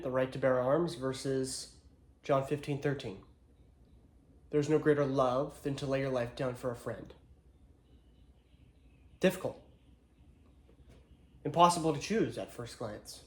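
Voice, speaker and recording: A man playing an earnest, solemn ex-Marine attorney, delivering a deliberate, rhetorical courtroom monologue with dramatic pauses. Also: mid-range voice, close to an everyday voice. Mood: measured, grave. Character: earnest male attorney, proud ex-Marine, solemnly addressing jury. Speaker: actor. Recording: echoey room.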